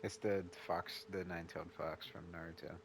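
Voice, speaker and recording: A man with a very deep, low voice chats casually, giving short, easygoing replies and laughing often. A faint hiss sits under his voice. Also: flat delivery, a little fast. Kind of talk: casual chat.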